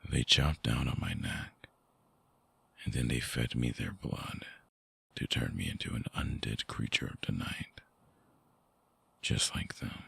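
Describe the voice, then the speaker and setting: A man playing a brooding, tender, wounded vampire ex-lover in an intimate ASMR audio drama, husky and breathy, with a halting, hushed delivery close to the mic. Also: a bass voice, fairly even intonation, dry recording, full character voice. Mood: somber, confessing. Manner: slow, halting, sighing, hushed confiding. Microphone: close binaural mic, dry sound.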